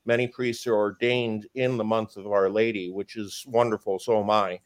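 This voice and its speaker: Booming-voiced priest, emphatic and indignant. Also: male voice, level tone, normal speed. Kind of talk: casual conversation on a live stream.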